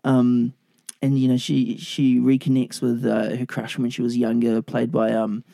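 A man co-hosting a podcast, chatting about a film in a loose, rambling, jokey way, his voice raspy and sleepy from the late hour. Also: medium pitch, completely flat delivery, unhurried, dark tone, dry recording. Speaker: podcast co-host. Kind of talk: casual film review chat.

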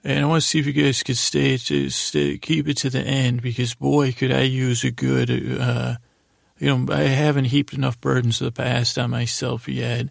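A man improvising a rambling bedtime story as a podcast host, in a halting, creaky voice that keeps repeating itself and trailing off. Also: mid-range voice, no echo, clean studio sound. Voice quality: gravelly, creaky.

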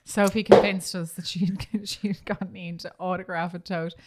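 A woman's voice: lowish voice, flat delivery, normal speed, very noisy. Dry-humoured Irish woman chatting. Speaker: podcast co-host. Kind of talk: casual comedic banter.

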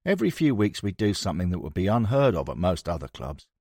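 Low-voiced man narrating, lively range. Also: fast, no room sound, studio quality. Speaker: audiobook narrator. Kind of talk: scripted narration of a sports history book.

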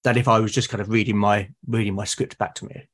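Husky British man in conversation. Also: fairly low pitch, level tone, quick pace. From Britain. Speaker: podcast guest, fiction podcaster. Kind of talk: casual interview conversation.

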